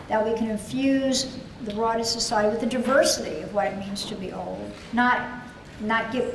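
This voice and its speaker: Older woman, keynote speaker, halting with fillers, echoey hall, distant mic, noisy. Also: fairly high pitch. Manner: thoughtful, hesitant with many fillers. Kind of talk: lecture and audience Q&A. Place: large conference hall.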